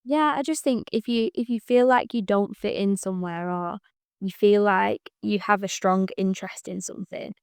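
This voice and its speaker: A woman in her thirties, a business owner speaking as an interview guest, reflective and soft-spoken, trailing off with ums. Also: medium pitch, moderate pace, clean tone, no room sound, clean studio sound. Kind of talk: casual interview chat.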